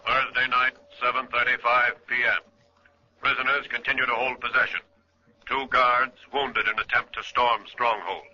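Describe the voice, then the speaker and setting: A man's voice: mid-range voice, little pitch movement, moderate pace. A man reading clipped, telegraphic bulletins in 1940s radio style, on a muffled old recording. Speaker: announcer.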